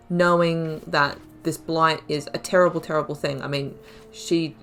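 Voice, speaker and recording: A woman giving casual, reflective game commentary, chatty and rambling as she thinks aloud, repeating and stressing words. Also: deep voice. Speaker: host of a gaming playthrough series.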